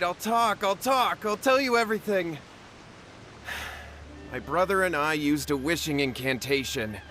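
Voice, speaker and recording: A man's voice: fairly high pitch, a bit bright. A man voicing a nervous young man in a video game, stammering and flustered. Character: nervous young man, scheming and easily cowed.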